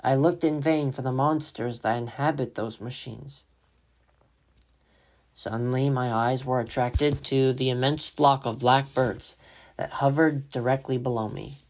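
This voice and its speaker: A man's voice: mid-range voice, fairly even intonation, a little slow. A man giving solemn, dramatic scripted narration as the storyteller of a radio play.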